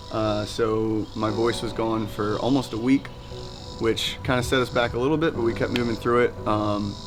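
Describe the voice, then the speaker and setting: A man chatting casually about a recording session in a studio, relaxed and full of fillers, with music behind him. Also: medium pitch. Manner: relaxed, filler-heavy, enthusiastic. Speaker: band vocalist. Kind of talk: casual documentary chat. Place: recording studio live room.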